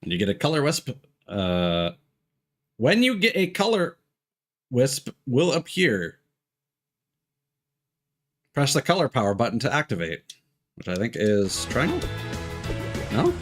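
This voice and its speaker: A man's voice: medium pitch, sing-song, measured pace, pauses often, fairly bright tone. A man streaming a video game, chatting informally, thinking aloud and reading on-screen text. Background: game music and sound effects.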